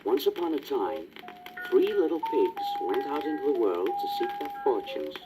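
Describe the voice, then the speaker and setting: A man's voice: medium pitch, big pitch swings, normal speed, rough recording. A man narrating a scripted children's storybook as a storyteller. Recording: old record with music behind.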